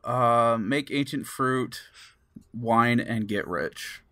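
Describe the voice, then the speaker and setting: A man's voice: medium pitch, extremely slow, bright, forceful tone, no room sound, studio quality. A man chatting casually as a podcast co-host.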